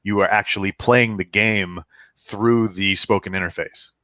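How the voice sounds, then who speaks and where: A man's voice: lowish voice, steady tone, a little slow, sharp tone, dry recording. A man chatting casually as a co-host on a podcast.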